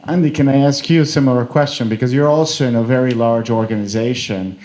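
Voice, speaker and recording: A man moderates a conference panel, asking his questions conversationally. He thinks aloud as he goes, circling his point with fillers before landing on the question, in a middle-pitched voice. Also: fairly even intonation, fast talker, a little mellow.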